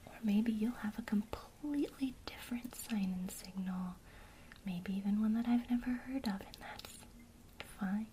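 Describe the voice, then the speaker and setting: A woman whispering an ASMR witchcraft lesson, gently encouraging a listener she addresses as her student. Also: mid-range voice, a little hiss or hum. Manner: speaks to the listener as a student, pausing for imagined replies.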